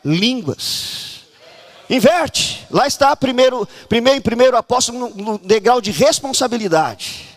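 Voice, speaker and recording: A man from Brazil preaching a fervent charismatic sermon at a church conference, often shouting and urging the congregation to act. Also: very high-pitched, expressive, unhurried.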